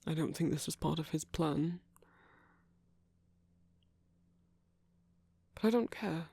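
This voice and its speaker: Guy doing a romantic king for asmr, hushed n tender, lots of creak, super dry close mic. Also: a little high, brisk, no room sound. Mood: tender, ardent. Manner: formal courtly phrasing, hushed and tender. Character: visiting king, earnest romantic suitor to a prince. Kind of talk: romantic ASMR roleplay, soft dramatic acting. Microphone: close microphone.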